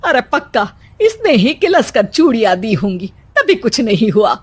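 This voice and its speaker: Casting: a woman as a bickering older Indian saas, high-pitched, full of complaint and melodrama, for a moral story. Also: sing-song, normal speed, breathy edge. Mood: complaining. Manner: scolding, melodramatic. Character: quarrelsome, complaining older Indian mother-in-law.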